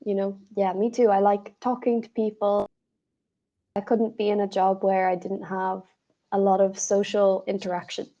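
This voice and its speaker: A woman's voice: mid-range voice, flat delivery, warm tone, highs cut off. A young woman with an Irish accent, chatting as an online English tutor with friendly, encouraging replies. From Ireland. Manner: friendly, encouraging, quick affirmations. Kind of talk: casual video-call conversation lesson.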